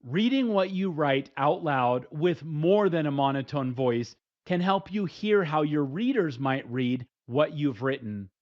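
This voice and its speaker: Middle-aged male instructor, steady and plain, a bit high-pitched, with muffled lo-fi sound. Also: measured pace, hardly any pauses. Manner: steady, plain, numbered advice. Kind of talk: scripted instructional talk. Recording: dull lo-fi recording.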